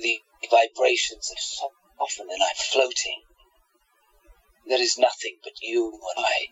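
Radio drama villain male actor: haughty, entranced, breathy and raspy, drawn-out, slightly dull recording. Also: medium pitch, steady tone, fairly bright tone. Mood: entranced, rapturous. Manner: theatrical, breathy, drawn-out delivery. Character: haughty villainous man falling into a hypnotic trance. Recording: dull lo-fi audio.

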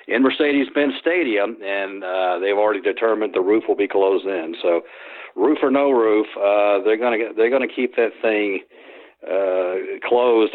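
Man, sports historian guest, low voice, phone-line sound, rambling. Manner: rambling, with frequent restarts and fillers. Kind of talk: phone interview answers. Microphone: telephone.

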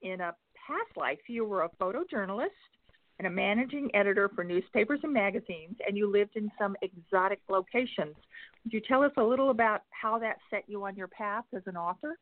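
A woman hosting a radio interview in an upbeat way, reading a guest introduction and then asking questions. Her voice comes through a tinny, dry phone line. Her pitch sits in the middle. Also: a little fast, dry recording. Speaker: guest host. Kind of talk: interview host.

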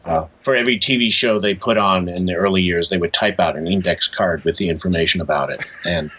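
A middle-aged man speaking as an interview guest, explaining things in a measured, slightly hesitant conversational way. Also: deep voice, sharp tone, slightly rough. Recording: dull, lo-fi sound.

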